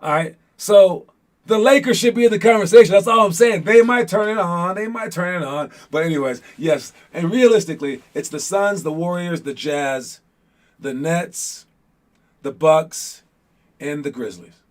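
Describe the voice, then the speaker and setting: Higher-pitched man, casual teasing sports host. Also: moderate pace. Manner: informal, teasing, rambling banter. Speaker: host of a basketball show. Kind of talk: casual sports chat with viewers and trivia.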